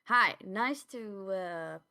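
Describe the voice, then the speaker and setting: A woman's voice: medium pitch, very slow, bright, forceful tone. A woman co-hosting a podcast in a casual, conversational style. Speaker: podcast co-host.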